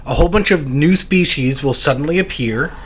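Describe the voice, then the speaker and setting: A man co-hosting a podcast in casual banter, with a slightly high, raspy voice, a hint of a lisp, and deadpan absurd jokes. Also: fairly quick. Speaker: podcast co-host.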